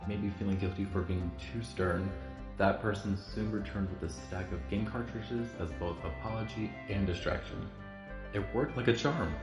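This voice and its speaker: An actor playing a gentle storybook narrator in a video game, telling a tale in a measured way. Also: male voice, fairly low pitch, lightly acted. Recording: echoey, music behind the voice.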